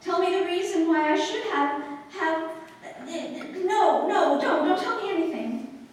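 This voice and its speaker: A woman acting a flustered, high-strung young society bride in a theatrical, halting 1940s radio-play style. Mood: flustered, defensive. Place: live staged radio-play performance in a hall. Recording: roomy, echoey distant live stage recording, faint noise.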